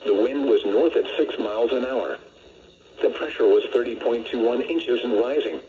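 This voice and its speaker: An American man's synthetic-sounding voice reading a scripted weather report as a radio announcer, measured and impersonal. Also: medium pitch, mellow. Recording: muffled lo-fi weather radio broadcast.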